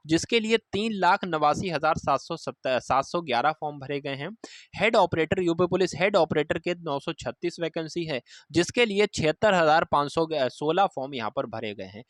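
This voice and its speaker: A man's voice: fairly high pitch, fairly bright tone, no room sound. A man giving an informal, instructive exam update to students, repeating key points for emphasis. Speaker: exam coaching presenter.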